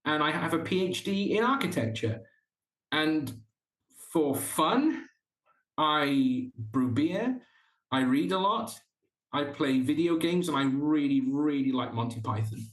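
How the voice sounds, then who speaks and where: A Welsh man with a fairly high voice, talking earnestly as a podcast guest and restarting his thoughts often. The room around him rings noticeably. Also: expressive, unhurried, roomy sound, rough recording. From South Wales. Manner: earnest, with frequent restarts and stumbles. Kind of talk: casual interview chat.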